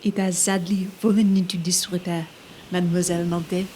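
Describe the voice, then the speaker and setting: A woman's voice: on the low side, little pitch movement, fairly quick, mellow, slight background noise, natural voice. An actress playing a mysterious, formal female castle guide, telling legends theatrically in an audio drama. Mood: ominous, formal. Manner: theatrical, ornate storytelling.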